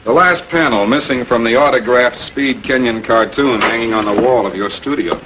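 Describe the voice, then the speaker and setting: A shrewd American public prosecutor, played by a male actor in a 1940s television crime drama. He has a medium-pitched voice and questions suspects methodically and with authority. The old film soundtrack carries faint noise. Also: moderate pace, natural voice. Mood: confident, accusing.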